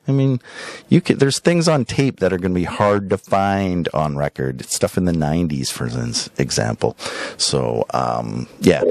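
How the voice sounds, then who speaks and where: A man hosting a podcast in a casual, rambling way, showing items for sale with drawn-out emphasis and frequent tag questions. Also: deep voice, no echo. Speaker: podcast host and record shop seller. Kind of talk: casual rambling product showcase.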